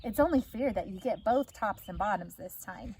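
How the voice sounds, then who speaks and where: A woman's voice: mid-range voice, lively intonation, normal speed, a little mellow, a little hiss or hum, lightly acted. A woman voicing a crafty male hare in a storybook, a slyly reasonable, placating trickster. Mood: falsely conceding.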